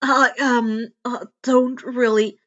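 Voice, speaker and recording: A woman's voice: a little high, level tone, slow, a bit bright, highs cut off. A woman voicing an awkward, anxious but earnest teenage boy student who hesitates and stammers, in a story reading. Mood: flustered.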